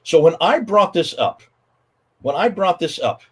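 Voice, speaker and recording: Husky American male guest, lively pitch, forceful and commanding. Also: measured pace. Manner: emphatic, interrupts and takes charge of the conversation. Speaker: guest speaker. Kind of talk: animated explanatory talk.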